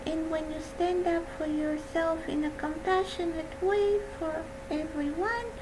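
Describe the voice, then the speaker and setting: A woman with a soft voice giving a gentle, earnest personal talk on mental health. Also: very high-pitched. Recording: steady background hum.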